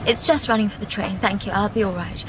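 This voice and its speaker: An actress playing an anxious, polite young office worker in a radio ghost story, hesitant and apologetic in manner. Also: female voice, mid-range voice, fast, background noise, close to an everyday voice. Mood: shaken, hesitant.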